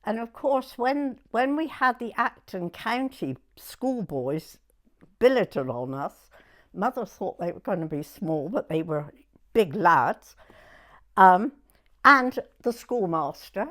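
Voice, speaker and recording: A woman in her nineties with a southern English accent, reminiscing in an unhurried, halting way in short broken phrases. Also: mid-range voice, expressive. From southern England. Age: 90s. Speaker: oral history interviewee. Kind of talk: personal reminiscence in conversation.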